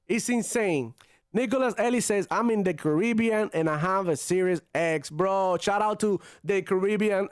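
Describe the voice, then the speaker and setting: Slangy Cuban-born man, high voice. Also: clean tone, dry recording, studio quality. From Cuba. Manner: loose, slangy, drops in Spanish phrases, many fillers. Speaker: gaming channel host reading chat. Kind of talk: casual livestream chat.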